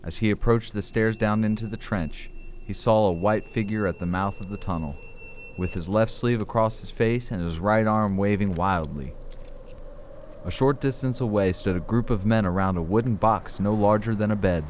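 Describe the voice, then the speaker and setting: A man's voice: lowish voice, normal speed, a little mellow, a little hiss or hum. A man narrating an audio drama in a scripted storytelling style.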